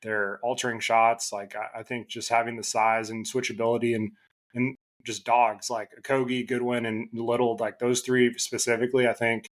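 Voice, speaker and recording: A man who is a guest on a basketball podcast, losing his voice and talking casually in halting bursts with many restarts. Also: medium pitch, little pitch movement.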